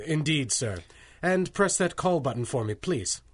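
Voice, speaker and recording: A fussy male bank manager in an old-style radio drama. He is formal and eager to please, in a slightly high voice. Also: lively intonation, a little slow, husky. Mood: obliging.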